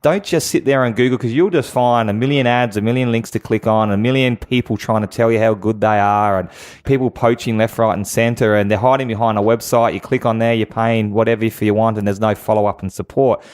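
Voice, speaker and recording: A man's voice: lowish voice, fairly even intonation, a little fast, darkish tone. A man giving conversational advice as an interview guest, emphatic and fond of rhetorical questions. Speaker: guest business expert.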